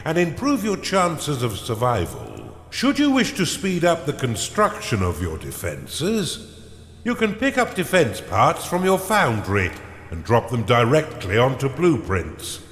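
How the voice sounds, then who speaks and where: A man playing a sardonic, pompous dark advisor in a video game, delivering scripted narration in a theatrical way. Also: mid-range voice, huge pitch swings, unhurried, echoey room, in a large hall, slight background noise, exaggerated voice. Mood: instructive, sly.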